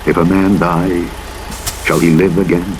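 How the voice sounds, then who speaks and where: Deep-voiced man reading scripture over engine noise. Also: little pitch movement. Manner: formal, measured, dramatic delivery. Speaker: Bible audiobook narrator. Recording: playback under loud truck engine noise. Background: truck engine and vehicle noise from a driving game.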